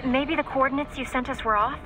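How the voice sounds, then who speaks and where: High-voiced female support operative, played by an actress. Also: fairly even intonation, a little fast, music in the background, close to an everyday voice. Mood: worried. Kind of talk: dramatic video game voice acting.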